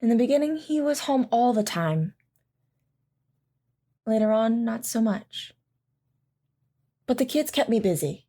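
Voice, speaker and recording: A woman playing a devoted military wife in an audio drama, gently and wistfully recalling life with her husband. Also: a little high, expressive, measured pace, pauses often. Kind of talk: audio drama acting, reminiscing narration.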